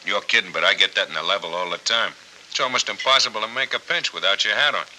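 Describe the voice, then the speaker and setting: An actor playing an easygoing, wry police lieutenant with a dry, folksy manner in a 1940s film noir. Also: male voice, fairly low pitch, fast, bright, forceful tone. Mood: dryly amused. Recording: noisy old 1940s film soundtrack.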